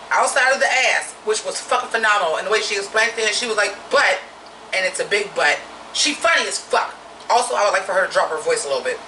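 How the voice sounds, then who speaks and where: A woman with a naturally deep voice giving a blunt, profane, opinionated drag review in a casual vlog. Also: echoey room, far from the mic, noisy background.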